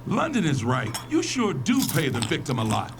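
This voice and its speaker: A stern, controlling husband and father acted by a man, high in pitch and swinging widely as he scolds. There is clear noise behind this audio drama voice. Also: huge pitch swings, normal speed, heavily acted. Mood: scolding. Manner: scolding, emphatic.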